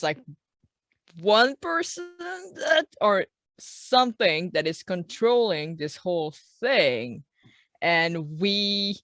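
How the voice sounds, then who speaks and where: A woman chats as a player in a tabletop game. She is informal and questioning, working things out aloud with an animated, medium-pitched voice. The sound is dry. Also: dry recording. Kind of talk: casual game-table chat.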